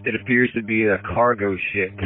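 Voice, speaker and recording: A man's voice: fairly low pitch, steady tone, normal speed. A male fire boat responder reporting over an emergency two-way radio. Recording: thin narrowband two-way radio.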